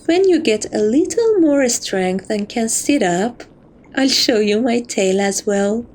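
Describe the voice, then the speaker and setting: Slow, coaxing catgirl temptress, acted by a woman. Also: fairly high pitch, animated. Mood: coy, confiding. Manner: soft, coaxing, teasing, doting. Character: seductive, possessive catgirl thief doting on her captive. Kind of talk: romantic ASMR roleplay.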